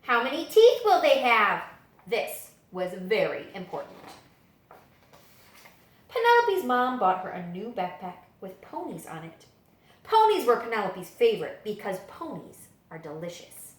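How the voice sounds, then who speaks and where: A woman reading children's picture books aloud as a story-time librarian, with dramatic, expressive storytelling. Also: fairly high pitch, measured pace. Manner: dramatic, animated storytelling delivery. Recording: echoey room.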